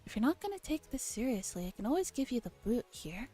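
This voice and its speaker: Woman reads as gruff visual-novel shopkeeper, a scolding man, soft timbre, music beneath. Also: fairly high pitch, big pitch swings, quick pace. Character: gruff, scolding male shop boss. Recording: music behind the voice.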